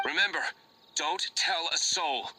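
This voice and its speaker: A man's voice: on the high side, a little slow, background noise, lightly acted. A man voicing an aloof, brooding young nobleman vigilante in a video game, speaking in a formal, curt, disdainful way. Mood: stern. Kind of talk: dramatic video game acting.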